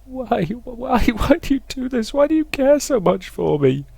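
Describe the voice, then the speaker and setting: A man's voice: extremely high pitch, sing-song, unhurried, vocal fry, warm tone, breathy edge, no echo, pitched above the performer's own voice, full character voice. A man voicing a frightened, guilt-stricken young woman in a visual novel, pleading and stammering in anguish. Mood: distraught, pleading. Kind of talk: dramatic reading of visual novel dialogue.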